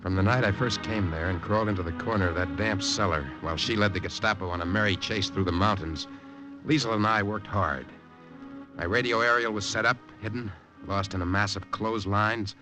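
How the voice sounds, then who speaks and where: An actor playing an earnest American secret agent in an intense 1950s radio drama style. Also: male voice, on the low side, fairly quick, natural voice, rough recording. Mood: sombre narration. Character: earnest American secret agent behind enemy lines, narrating his story. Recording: old radio broadcast with music.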